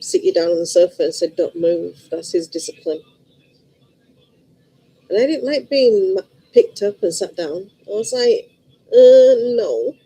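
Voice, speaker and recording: A woman's voice: fairly low pitch, mellow, breathy edge, rough recording. Rambling chatty woman with a Liverpool accent. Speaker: vlogger. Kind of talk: casual chat to camera.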